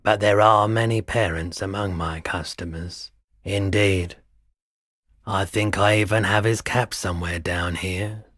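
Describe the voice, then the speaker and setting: An actor playing a weary, mild-mannered pawnshop owner no longer young in a video game, speaking in an unhurried, low-key, dryly polite way. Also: male voice, fairly even intonation, a bit bright, no room sound, studio quality. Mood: measured, matter-of-fact. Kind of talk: video game dialogue acting.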